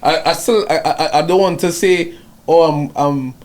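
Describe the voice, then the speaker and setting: A man in his thirties hosting a podcast, speaking in a relaxed, conversational way and stammering at the start of sentences. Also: a little high, normal speed. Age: 30s. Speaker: podcast host. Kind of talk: casual chat.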